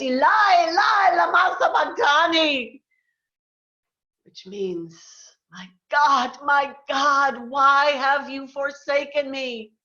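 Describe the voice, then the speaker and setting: A woman's voice: a little high, sharp tone, dull audio. A woman pastor giving a solemn, theatrical dramatic reading of scripture over a video call. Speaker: Lutheran pastor.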